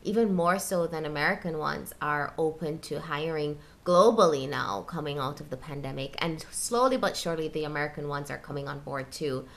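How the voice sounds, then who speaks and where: A woman's voice: low-pitched, brisk, creaky, hardly any pauses. A woman hosting a casual interview, recalling her guest's progress and asking encouraging questions.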